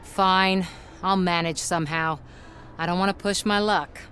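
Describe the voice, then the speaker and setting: A woman's voice: on the low side, fairly even intonation, unhurried, a bit bright, slight background noise. An actress playing a wry, determined woman in a video game, talking in a casual, coaxing way. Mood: resigned.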